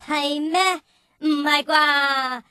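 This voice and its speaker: Sharp, feisty little talking calf. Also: female voice, high-pitched, very slow, dry recording, full character voice. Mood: mocking. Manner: childish, teasing. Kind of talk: children's radio drama acting.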